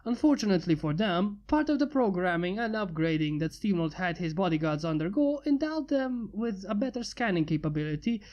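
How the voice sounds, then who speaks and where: A woman narrating a fan fiction story in a steady, even reading voice. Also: medium pitch. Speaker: fanfiction reader.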